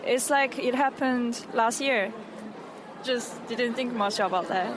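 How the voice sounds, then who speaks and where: A woman's voice: on the high side, slow pace. A woman from Korea speaking English with a Korean accent, answering a street interview carefully. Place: busy city street outdoors. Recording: street recording with crowd and traffic.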